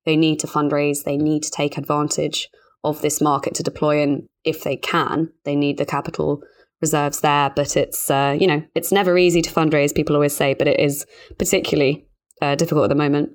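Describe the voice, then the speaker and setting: A woman's voice: low voice, level tone, fairly quick. A woman hosting a professional podcast, presenting and discussing as a journalist. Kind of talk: scripted podcast introduction and interview discussion.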